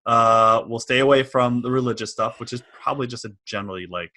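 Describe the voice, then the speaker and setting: A man's voice: medium pitch, fast talker, pushed and bright. A man in his forties with a Washington DC area American accent, hosting a casual podcast interview from a home office, agreeable with many short yeses. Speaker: podcast host. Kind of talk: casual podcast interview over video call.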